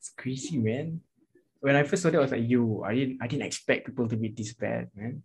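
A young man with a somewhat husky voice, speaking at a middle pitch. He chats casually and relaxed, dropping slang like "dude" and "bro" as he talks. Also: fairly quick, poor audio. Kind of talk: casual chat.

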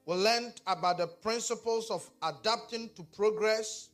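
A man's voice: sits high, a little slow, soft background music. A man from Ghana with a Ghanaian accent, preaching a sermon and talking back and forth with his congregation. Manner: animated, talks with the congregation. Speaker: pastor.

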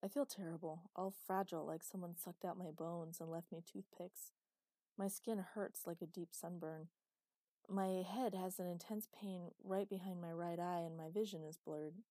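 A woman's voice: lowish voice, fairly even intonation, normal speed, warm tone, dry recording, close to an everyday voice. A woman voicing an injured woman who speaks frankly and inquisitively in a storybook reading. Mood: matter-of-fact. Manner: matter-of-fact, inquisitive.

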